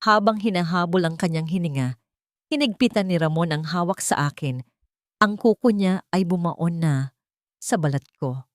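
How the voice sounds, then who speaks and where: A woman's voice: fairly low pitch, moderate pace, no room sound, clean studio sound. A woman reading a scripted story in the first person as a dramatic narrator.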